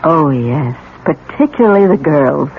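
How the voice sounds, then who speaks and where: An actress playing a bitter, lonely middle-aged wife and mother in a theatrical, halting 1970s radio drama style. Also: deep voice, lively intonation. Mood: anguished, brooding. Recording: tinny, noisy old radio broadcast.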